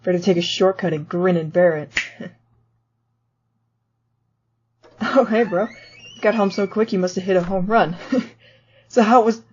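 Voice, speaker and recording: A woman's voice: medium pitch, moderate pace, a little mellow, a little hiss or hum, close to an everyday voice. A woman playing a protective, wisecracking teenage skeleton big brother, casual and tender, in a fan comic dub. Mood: joking. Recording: muffled lo-fi audio over music.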